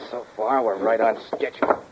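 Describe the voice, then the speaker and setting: An actor playing a methodical, bossy burglar with clipped orders in a 1940s radio crime drama. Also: male voice, on the low side, steady tone, moderate pace, lightly acted. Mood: hushed, businesslike. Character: methodical, bossy male burglar, impatient planner. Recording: dull, noisy vintage radio recording.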